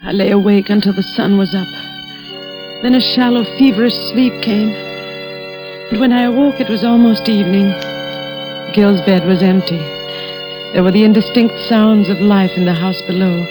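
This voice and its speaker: A woman's voice: medium pitch, steady tone, normal speed, pauses often, close to an everyday voice, poor audio. An actress playing an anxious, determined married woman, with the earnest, dramatic delivery of 1940s radio suspense. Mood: brooding. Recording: vintage radio recording with music.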